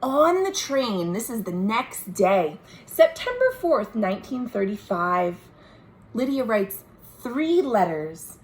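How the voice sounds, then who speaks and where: A woman with a fairly high voice leads a children's storytime, reading very slowly and pausing to point out pictures. Her melody swings widely and expressively. It is a home recording with faint noise behind her. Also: very animated, rough recording. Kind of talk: picture book read-aloud with asides to young listeners.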